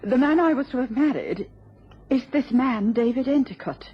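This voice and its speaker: An actress playing a gentle, anxious, devoted wife in a radio drama, speaking politely and haltingly. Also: female voice, high-pitched, expressive, husky. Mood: tearful, fearful. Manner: polite, halting, breaking off when flustered. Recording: dull, noisy old radio recording.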